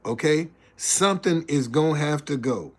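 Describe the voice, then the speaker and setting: A man's voice: fairly high pitch, animated, normal speed. A man in his seventies hosting a livestream, preaching about success and reading out viewers' messages in a folksy way. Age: 70s. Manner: folksy, preacherly, reads chat messages aloud. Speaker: livestream host. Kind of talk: casual livestream talk and preaching.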